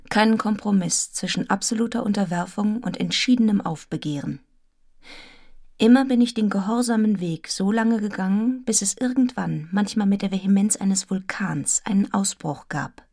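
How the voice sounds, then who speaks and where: An actress playing a serious, restrained young governess in a radio drama, telling her story in a measured, articulate, introspective way. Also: medium pitch, a little mellow, lightly acted. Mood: reflective, grave. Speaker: actor in a radio play. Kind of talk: literary radio drama narration and dialogue.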